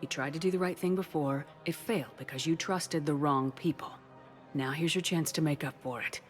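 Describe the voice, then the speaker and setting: A woman playing a steady, firm military commander in a video game, direct and controlled. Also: deep voice, normal speed, close to an everyday voice. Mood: persuasive. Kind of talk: cinematic video game acting. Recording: game music underneath.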